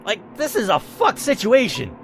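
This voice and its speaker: A man's voice: high-pitched, huge pitch swings, moderate pace, soft background music. A man hosting a gaming video, narrating a text adventure theatrically, with casual, blunt, sweary asides.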